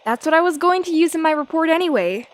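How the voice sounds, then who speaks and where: An actress playing a brash, tenacious 1930s newspaper reporter, feisty and full of snappy period slang. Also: female voice, extremely high pitch, lively intonation, quick pace. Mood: insistent. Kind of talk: old-time radio drama acting.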